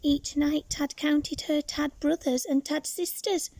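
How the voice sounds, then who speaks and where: A woman reading a picture book expressively to young children, with dramatic pauses and asides to her listeners. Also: sits high, steady tone, a little mellow.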